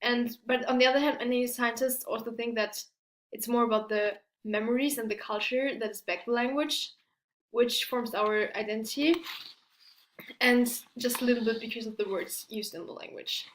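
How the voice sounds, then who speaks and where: A woman's voice: a little high, steady tone, moderate pace, a bit bright, a little hiss or hum. Hesitant young woman in an echoey room. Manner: hesitant, with fillers and self-corrections. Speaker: student presenter. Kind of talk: class presentation, partly read.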